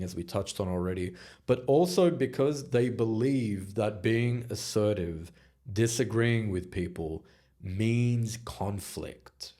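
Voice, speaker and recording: A man hosting a solo advice podcast as a communication coach, speaking directly and motivationally, deliberately letting his volume and pace rise and fall for emphasis. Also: on the low side, a little mellow.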